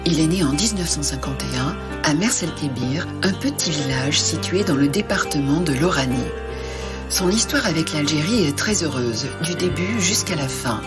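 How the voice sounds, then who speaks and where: A woman hosting a podcast, reading a scripted narration in a measured, calm way. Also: sits low. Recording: narration over music.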